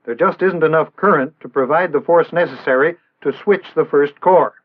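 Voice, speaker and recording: An American man narrating a 1960s instructional film in a measured, didactic way with precise enunciation. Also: fairly high pitch. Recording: band-limited, tinny vintage film soundtrack.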